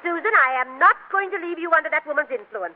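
A bossy, meddling aunt with a high voice that swoops with disapproval. She is haughty and emphatic in old radio comedy style, on a very tinny recording. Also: lively intonation, quick pace. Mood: indignant, insistent. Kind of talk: 1940s radio comedy acting.

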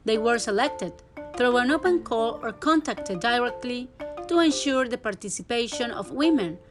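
A woman's voice: a little high, animated, moderate pace. Woman narrating a podcast intro over music. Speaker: podcast host. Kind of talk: scripted narration. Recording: music playing behind the voice.

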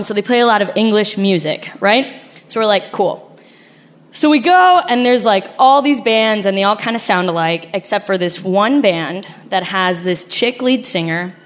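A young woman from Argentina with an Argentinian accent, telling a frank, laughing story on a live stage. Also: medium pitch. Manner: animated, laughing, frank and profane. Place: stage before a live audience.

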